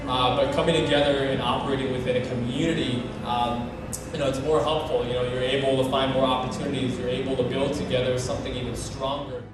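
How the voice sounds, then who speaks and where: A man's voice: mid-range voice, flat delivery, quick pace, noisy background. A man organizing a youth award night speaks loosely to the crowd, with lots of fillers. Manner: loose and conversational, many fillers. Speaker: event organizer and emcee. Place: community event hall. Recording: echoey hall, distant mic, loud music.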